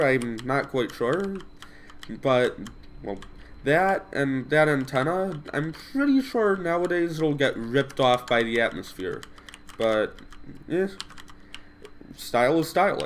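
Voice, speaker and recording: A man's voice: mid-range voice, slight background noise. A man with a stuffed-up voice from a cold, casually commenting on his own gameplay, rambling and correcting himself as he thinks aloud.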